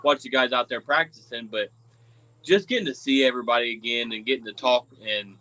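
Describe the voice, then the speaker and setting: Male co-host, slightly hoarse from cheering, easygoing, bright forceful tone. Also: mid-range voice, brisk, rough recording. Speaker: podcast co-host. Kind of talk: casual sports chat.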